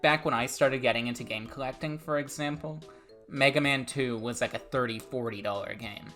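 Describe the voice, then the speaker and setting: A man with a Portuguese accent co-hosting a casual podcast, relaxed and chatty, often repeating words. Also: medium pitch, fairly quick, soft background music. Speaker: podcast co-host. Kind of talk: casual chat.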